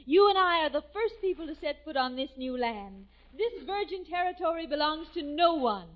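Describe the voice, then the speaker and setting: A woman's voice: high-pitched, expressive, normal speed. A woman playing a sweet, adoring young pilgrim sweetheart in an old-time radio comedy sketch. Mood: adoring, dreamy. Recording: muffled, distant 1940s radio recording.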